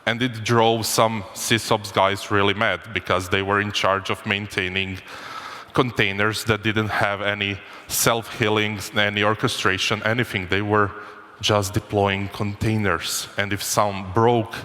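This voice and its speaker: A man from Croatia gives a loose, joking conference talk, often restarting his sentences. His voice sits fairly low but sounds bright and forceful. The sound carries a long reverb from the conference hall. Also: level tone, measured pace. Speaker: conference speaker.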